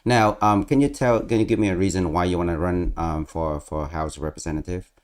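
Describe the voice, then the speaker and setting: Low-voiced man hosting a halting interview. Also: steady tone. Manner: halting, searching for words. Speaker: host of an interview channel. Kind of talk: casual interview.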